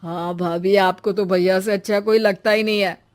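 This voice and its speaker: A woman's voice: medium pitch, fairly even intonation, moderate pace, natural voice. A woman voicing an earnest, caring college-age young man, protective and pleading, in a story. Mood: playful.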